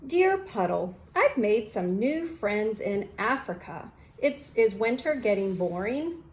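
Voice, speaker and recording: A woman's voice: medium pitch, big pitch swings, slow pace, darkish tone, low-quality recording. A woman voicing an eager, adventurous male storybook character, reading his letters to a friend expressively.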